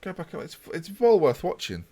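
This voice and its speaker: A man's voice: a little high, a little fast. A man casually chatting with his live-stream viewers while playing a football management game. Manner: relaxed, chatty, talks to chat while playing.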